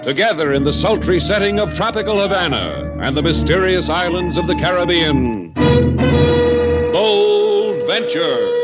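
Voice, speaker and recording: Over loud music on a muffled old recording, a man announces in dramatic 1950s radio style. His delivery is very slow, with big expressive swings. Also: sits high.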